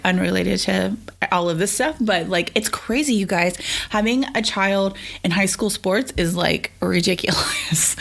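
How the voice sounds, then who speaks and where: Middle-aged female podcast host, sick and sniffly, creaky gravelly tone, chatty and rambling. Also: mid-range voice, a bit bright. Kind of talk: casual solo podcast chat.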